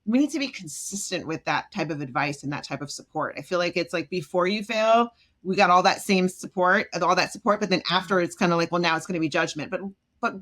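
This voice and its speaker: A woman from Jamaica, a self-esteem expert, talking as a podcast guest in an expressive way, acting out quoted voices and laughing often. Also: lowish voice, fairly quick. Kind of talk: animated podcast conversation.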